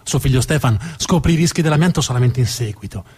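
Husky man telling a dramatic theatre story. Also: medium pitch, lively intonation, a little mellow. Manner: deliberate, emphatic delivery with dramatic pauses. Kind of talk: dramatic scripted stage narration.

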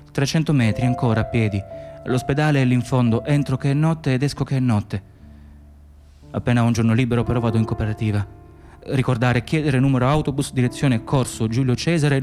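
Actor as a weary immigrant worker over music. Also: male voice, medium pitch, dark tone, natural voice. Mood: preoccupied, musing. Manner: clipped inner monologue and plain testimony. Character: weary, dutiful Moroccan immigrant hospital worker, wronged and insistent. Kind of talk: radio drama monologue.

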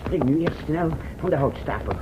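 A man's voice: a little high, lively intonation, moderate pace, lightly acted. An actor playing a timid, helpful townsman who speaks politely and hesitantly, repeating his words, in a radio play. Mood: polite, hurried. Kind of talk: old radio play acting. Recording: noisy, dull old radio recording.

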